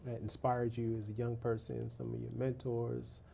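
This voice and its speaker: A male talk show host with a very dark, mellow tone and a mid-range pitch. He keeps things casual and friendly, using slang and giving frequent short hums of agreement. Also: monotone, moderate pace, creaky, breathy edge. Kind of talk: interview hosting.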